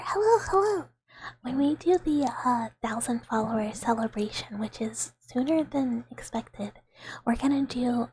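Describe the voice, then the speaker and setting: A young woman streaming ASMR, whispering softly to her chat with giggles and playful squeaky outbursts, close to the microphone. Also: slight background noise. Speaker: VTuber streamer.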